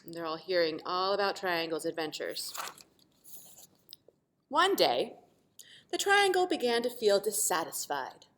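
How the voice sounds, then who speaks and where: A woman teacher reading a storybook expressively to children, pausing to explain simply and ask questions. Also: on the low side, a little hiss or hum. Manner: animated, asks the listeners questions.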